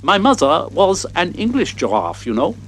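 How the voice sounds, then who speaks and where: Guy doing a shy polite giraffe, soft spoken. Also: high-pitched, huge pitch swings, moderate pace, slight background noise, poor audio. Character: polite mild-mannered male giraffe from abroad.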